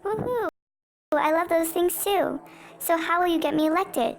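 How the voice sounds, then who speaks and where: A woman's voice: very high-pitched, moderate pace. A woman playing a beleaguered, anxious male president in an amateur history puppet show. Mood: excited, eager. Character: beleaguered male president Martin Van Buren, anxious and defensive.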